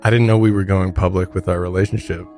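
A man's voice: sits low, little pitch movement, fast, mellow, soft background music. A man co-hosting a podcast with casual banter. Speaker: podcast co-host. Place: podcast studio.

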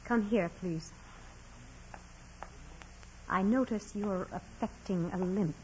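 An actress playing a severe, bitter senior nun in 1940s radio drama style, stern, clipped and intense. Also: female voice, medium pitch, slow pace, slight background noise, natural voice. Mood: cold, accusing. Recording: dull, hazy vintage radio recording.

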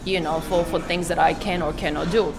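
A woman's voice: fairly low pitch, steady tone, vocal fry, music in the background. A woman from Croatia speaking in a casual interview, with lots of fillers and restarts. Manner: halting, many fillers and restarts. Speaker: interviewee, cafe business owner.

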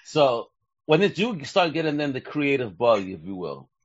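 A man's voice: mid-range voice, very animated, moderate pace, dry recording. Man, podcast host, middle-aged, slangy, hyped, dry close sound, lo-fi. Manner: hyped, slangy, peppers talk with Spanish greetings. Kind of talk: casual interview chat. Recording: dull lo-fi sound.

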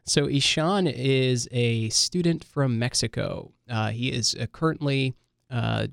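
A man's voice: mid-range voice, creaky, clear, resonant tone, no room sound. A man hosting an interview podcast, welcoming his guest and asking follow-up questions with many fillers. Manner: warm, many "uh" and "um" fillers, eager follow-ups. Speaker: podcast host. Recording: dry close studio mic.

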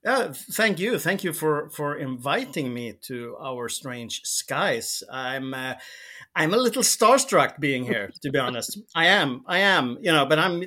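A man's voice: a little high, sharp tone. A middle-aged man with a Swedish accent, a native Swedish speaker, talking casually as a podcast guest and often repeating words as he searches for a phrase. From Sweden. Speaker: guest, television producer and researcher.

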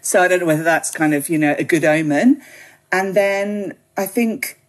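Guest spot: British woman, low voice, chatty and meandering. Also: moderate pace. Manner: chatty, rambling with many ums and you knows. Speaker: podcast guest, gin writer. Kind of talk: relaxed interview chat.

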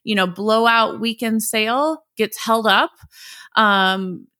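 A woman's voice: on the high side, takes it slowly, pushed and bright, no echo. Chatty woman with a dry close sound. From Midwest United States. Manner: chatty, with many fillers and restarts. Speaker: guest, company founder. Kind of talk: casual interview talk.

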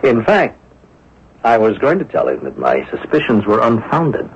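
An actor playing a sceptical police inspector in a 1970s radio mystery, dry and needling with drawn-out exclamations. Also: male voice, mid-range voice, huge pitch swings, creaky, slight background noise. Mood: musing, probing. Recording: dull lo-fi 1970s radio broadcast.

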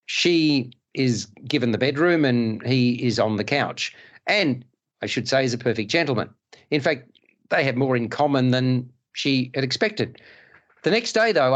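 A man's voice: mid-range voice, a little slow. A male podcast host and film critic, chatting casually and reviewing films with many restarts. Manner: stammering restarts, animated.

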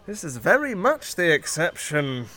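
A sour, jealous British undertaker in a radio-style comedy. His voice is high and piercingly sharp, swinging wildly as he splutters in exasperation. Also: very animated, measured pace, full character voice. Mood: bitter, flustered. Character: sour, jealous, indignant male funeral director, easily flustered. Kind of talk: audio sitcom acting.

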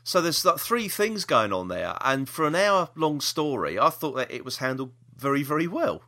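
A man's voice: on the high side, sing-song, normal speed, bright, forceful tone. A man chatting casually as a podcast co-host, enthusiastic and repeating himself for emphasis. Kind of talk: casual review chat about audio dramas.

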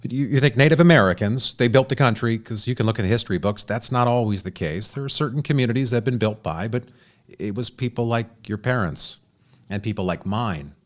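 A man's voice: mid-range voice, a little fast. A man hosting a studio interview, reading a guest's bio and then asking earnest questions with frequent restarts. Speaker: host.

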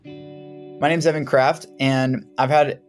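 A man's voice: medium pitch, level tone, slow pace, faint music behind. A young American man, a singer-songwriter guest, sharing his story in a conversational way with frequent restarts. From United States. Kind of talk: casual personal account.

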